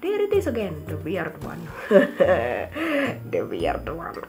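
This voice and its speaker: Lively playful woman with Swedish accent. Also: lowish voice, slow. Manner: chatty, playful, giggly. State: ill. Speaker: vlogger. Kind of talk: casual chat to camera. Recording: background music under the voice.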